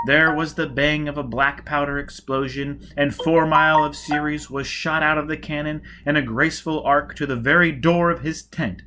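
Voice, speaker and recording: A man reading a novel aloud as an audiobook, with emphatic, deliberate dramatic narration. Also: on the high side, bright, forceful tone, slight background noise. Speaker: audiobook reader.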